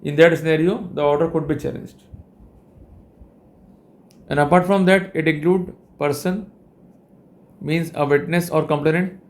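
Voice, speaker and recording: Higher-pitched Indian man lecturing formally. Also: fairly even intonation, measured pace, long pauses, a little mellow. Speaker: legal lecturer. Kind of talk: explanatory legal lecture.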